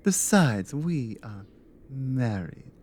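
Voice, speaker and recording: A woman acts out a devoted Nordic adventurer wife in an intimate ASMR roleplay. Her voice is soft, breathy and mellow, slipping often into whispers. She takes her time, teasing fondly, over faint background noise. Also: a bass voice, animated, full character voice. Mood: tender. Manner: teasing, unhurried, affectionate.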